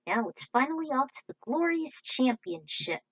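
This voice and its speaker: A woman's voice: sits high, moderate pace, gravelly, a bit bright, no echo, lo-fi sound. A woman narrating her own informal, scripted commentary about video games.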